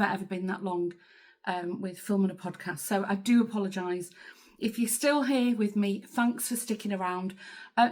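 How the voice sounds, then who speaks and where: A woman's voice: a little high, a little mellow. Rambling middle-aged woman. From England (Manchester). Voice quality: chatty, rambling. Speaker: crafting podcast host. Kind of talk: casual chat to camera.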